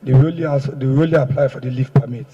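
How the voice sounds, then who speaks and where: A man with a Nigerian accent and a husky voice, giving deferential, guarded answers as a suspect under questioning. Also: mid-range voice, steady tone, racing. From Ekiti State, Nigeria. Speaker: paraded fraud suspect being questioned. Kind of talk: public confession under questioning. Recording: dull, muffled audio.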